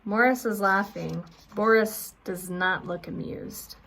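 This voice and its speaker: Preschool teacher lady reading a kids book out loud, takes it real slow, mid pitch. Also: vocal fry, poor audio. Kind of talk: children's storybook read-aloud.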